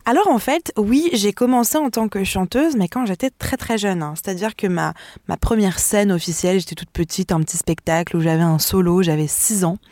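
A woman vocal coach speaks as a radio guest in an expressive, animated way, laughing easily and catching herself mid-sentence. Her voice sits at a middle pitch, and the recording is close and dry with no room sound. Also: a little fast, dry recording. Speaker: vocal mentor and singer.

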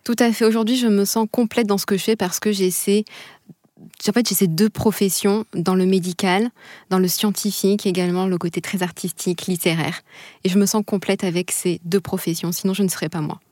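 A young adult woman from Bordeaux, answering interview questions with enthusiastic, expressive storytelling and a few hesitations. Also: medium pitch, brisk, no echo, clean studio sound. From Bordeaux, France. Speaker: guest.

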